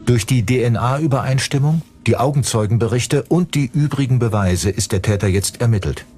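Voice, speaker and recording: A man's voice: mid-range voice, normal speed, a little mellow, husky, soft background music. A man reading scripted narration for a true-crime documentary.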